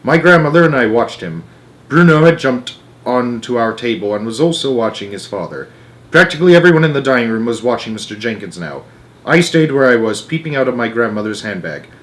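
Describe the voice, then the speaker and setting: Expressive male storyteller with lively intonation. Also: medium pitch, a little fast. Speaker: storybook narrator. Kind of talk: expressive read-aloud of a children's novel.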